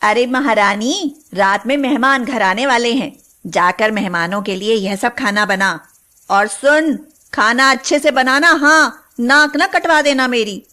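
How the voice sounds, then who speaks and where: Cruel cartoon mother-in-law, acted by a woman: high voice, bossy, nagging, sarcastic. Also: bright, forceful tone. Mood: sneering, bossy. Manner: nagging, sarcastic, drawn-out calls. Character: cruel, scolding, domineering Indian mother-in-law. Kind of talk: cartoon moral-story voice acting.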